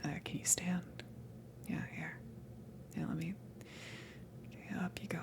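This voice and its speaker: A woman playing a friendly, awkward alien salvage worker in a whispered ASMR roleplay, rambling casually in a soft, breathy, halting way. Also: deep voice, steady tone, exaggerated voice. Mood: reassuring, hesitant. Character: friendly big-headed, tailed alien salvage crew member, awkward, easygoing.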